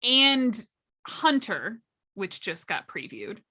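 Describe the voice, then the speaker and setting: A woman with a bright, slightly high voice talks casually about storytelling in tabletop games. She explains with emphasis and stretches out the words that matter to her. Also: big pitch swings, takes it slowly. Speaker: panelist at a tabletop roleplaying storytelling workshop.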